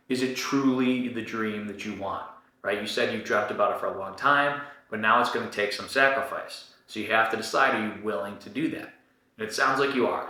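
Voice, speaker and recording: A man's voice: lowish voice, sharp tone. A man in his forties, a podcast host answering a listener's question in a direct, encouraging way that often checks in with "right?". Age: 40s. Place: Chicago. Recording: very echoey room.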